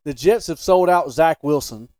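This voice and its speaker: A man's voice: a little high, lively intonation, normal speed, darkish tone, no echo, clean studio sound. A man co-hosting a sports podcast, debating casually in a blunt, opinionated and emphatic way.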